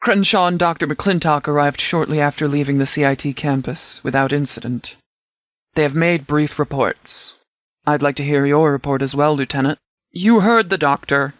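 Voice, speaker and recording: Man playing a game sheriff's commander, slightly high male voice, formal, precise, measured, no-nonsense, very dry recording. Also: fairly bright tone, no echo, natural voice. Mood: composed, authoritative. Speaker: commanding officer character in a video game. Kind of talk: scripted game dialogue, mission briefing.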